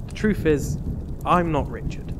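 A man's voice: fairly high pitch, lively intonation, a little slow. A man playing a commanding, courteous master, secretly a witch in disguise, with composed theatrical delivery in a comedic fantasy audio drama. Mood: calm, courteous. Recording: long hall reverb, clear background noise.

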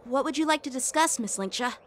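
A woman's voice: high voice, animated, quick pace, a bit bright. Brash young swordsman. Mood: polite. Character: young male knight lieutenant, earnest, hot-tempered. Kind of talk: game voice acting. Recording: game audio with background music.

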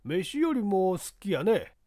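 A friendly middle-aged Kansai noodle-shop owner, played by an audiobook reader. He drawls along at a slow, easygoing pace in a medium pitch. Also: sing-song, mellow. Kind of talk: audiobook character acting.

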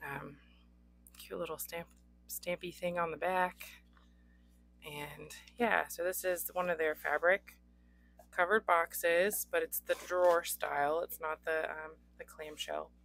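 A young adult woman with a hoarse voice, hosting a casual pen unboxing with frequent ums. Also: fairly low pitch, fairly even intonation, slow, fairly bright tone. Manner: chatty, frequent ums, occasional coughs. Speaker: pen reviewer. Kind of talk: unboxing chat. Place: indoors at a desk. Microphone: overhead camera on desk, hands only.

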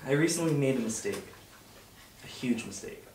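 Man acting heartfelt teenage boy, mid-pitched, halting, earnest, web series read-through, far from mic. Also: expressive, a little mellow, close to an everyday voice. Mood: regretful, pleading. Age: young adult. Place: home dining room around a table. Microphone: far camera. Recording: roomy, distant mic, clear background noise.

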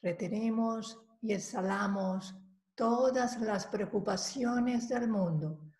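Woman, meditation guide, calm and measured, long pauses, gravelly fry, roomy echo. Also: mid-range voice, a little hiss or hum. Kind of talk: guided meditation, slow spoken instruction. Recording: roomy, echoing voice recording.

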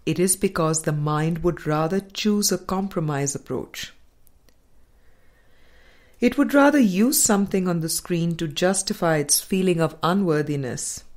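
A woman narrates a spiritual audiobook at a very unhurried pace. Her voice sits a little low for a woman, and she delivers each sentence in a measured, formal way. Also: a little mellow. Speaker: audiobook reader. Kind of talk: scripted spiritual book reading.

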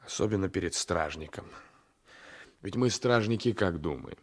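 A man's voice: low voice, expressive, moderate pace. An actor in a 1980s radio play as a domineering, sly gendarme, patronising and insinuating, often repeating his phrases. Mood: soothing, insinuating. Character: domineering, sly gendarme coaxing a weaker man. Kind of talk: Soviet-era 1980s radio play acting.

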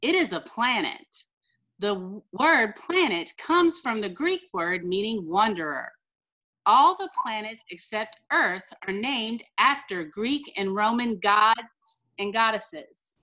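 A middle-aged American woman, a teacher reading a picture book aloud to children with careful, deliberate enunciation over a video call. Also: medium pitch, animated, pushed and bright, rough recording. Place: small room indoors, on a video call.

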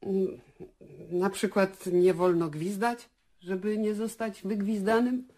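Radio drama theatre barmaid, female actor: talkative, excitable, husky voice, unhurried delivery. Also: mid-range voice. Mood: anxious, animated. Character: superstitious, talkative female theatre bar worker. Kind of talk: radio play acting.

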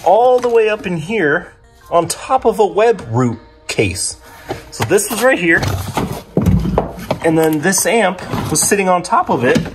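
A young man narrating a DIY car vlog casually and informally, thinking aloud with mild exasperation. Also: a little high. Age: young adult.

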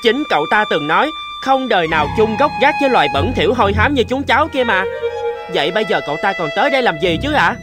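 A woman's voice: medium pitch, lively intonation, fast talker, lightly acted. A woman playing a resentful young stray cat in a cartoon, with a threatening, sneering manner. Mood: resentful, scornful. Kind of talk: animated fairy-tale dubbing. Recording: music playing behind the voice.

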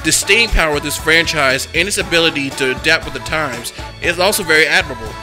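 A man's voice: fairly high pitch, fast talker, music in the background. A man narrating a cartoon history documentary in a scripted, enthusiastic fan's manner. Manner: enthusiastic, fan-like.

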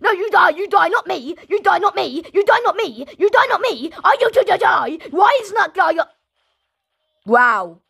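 A young woman giving excitable running commentary on her gameplay, repeating herself and shouting, her throat sore and coughing. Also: extremely high pitch, normal speed, gravelly. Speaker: gaming channel host. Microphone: phone.

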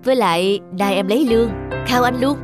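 A woman's voice: on the high side, brisk, over music, close to an everyday voice. A woman voicing a kindly, helpful male porter in a cartoon, friendly and plain-spoken. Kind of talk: cartoon dubbing.

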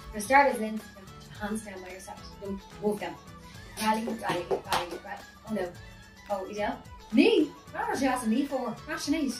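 A woman in her forties who grew up in Greece, a band member talking playfully and joking. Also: medium pitch. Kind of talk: casual chat. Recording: roomy, distant, with music behind.

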